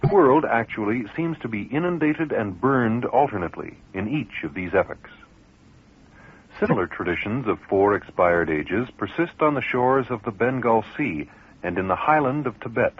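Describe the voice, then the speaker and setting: A man reading scripted documentary narration from books in a formal, declamatory manner, with a husky voice. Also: mid-range voice, expressive, normal speed. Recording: tinny, band-limited radio recording.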